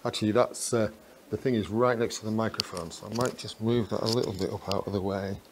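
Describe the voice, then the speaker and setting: A man's voice: lowish voice, steady tone, fast. A man giving a casual, chatty running commentary as he films a gear test indoors. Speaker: vlogger testing walkie-talkies. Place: corridors inside a residential building. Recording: clear background noise.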